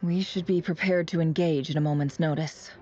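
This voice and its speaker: A woman playing a resolute, sharp-witted fighter in a video game, composed, clipped and confident. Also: sits low, fairly even intonation, a little fast, close to an everyday voice. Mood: calm resolve. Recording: muffled game audio under loud music.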